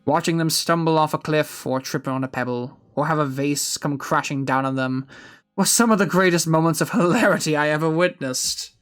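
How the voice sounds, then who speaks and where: A man voicing an aged gentleman villain from a game story, gleefully wicked and sentimental, in a pompous theatrical manner. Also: fairly high pitch, moderate pace, clear, resonant tone, soft background music, lightly acted. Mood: gleeful, smug. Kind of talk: dramatic reading of game story dialogue.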